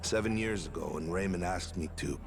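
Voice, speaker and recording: An actor playing a weary, guilt-ridden tough man in a video game cutscene, speaking in a halting, heavy way. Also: low voice. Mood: regretful, confessing. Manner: slow, halting, heavy delivery.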